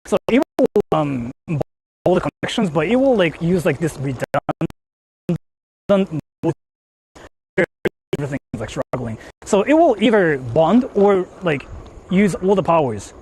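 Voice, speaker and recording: Guy rambling on a phone stream outside, kinda high voice, faint noise behind. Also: very animated, measured pace, long pauses, darkish tone, low-quality recording. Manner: rambling, many fillers, explains tech setup. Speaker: IRL streamer. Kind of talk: casual live-stream talk to chat. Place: outdoors in the countryside, on the move. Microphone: phone held while streaming.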